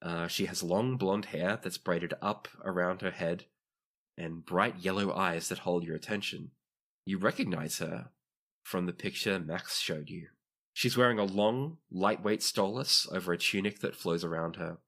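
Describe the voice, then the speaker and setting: A man with a deep voice runs a tabletop role-playing game. He narrates scenes as game master in a conversational, descriptive storytelling style. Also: measured pace, fairly bright tone.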